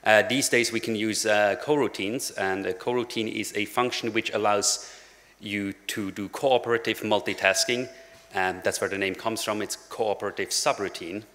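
A man's voice: lowish voice, steady tone, moderate pace, fairly bright tone. A young man giving a casual technical conference talk at a lectern, with many "uh" fillers and dry jokes. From Switzerland. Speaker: conference speaker. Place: large conference hall at a lectern. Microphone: lectern microphone. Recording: long hall reverb.

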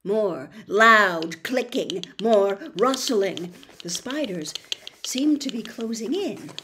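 An older woman narrating a story expressively, in a dramatic, deliberate manner. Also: mid-range voice, expressive, a bit bright, a little hiss or hum. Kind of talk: expressive audiobook-style narration. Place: small bedroom, indoors.

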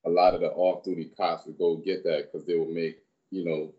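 Man, thirties, from Barbados, very deep voice, relaxed, filler-heavy, lo-fi video call. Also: brisk. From Barbados, raised in New York. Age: 30s. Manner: relaxed, rambling, many fillers. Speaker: guest, personal trainer. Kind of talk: casual storytelling interview. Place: small room indoors. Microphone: video call webcam.

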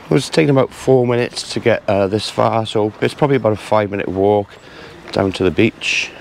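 A man casually narrating a walking tour outdoors on village streets, chatty and relaxed with frequent pauses to say "uh". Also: lowish voice, creaky, a little hiss or hum. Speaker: travel vlogger.

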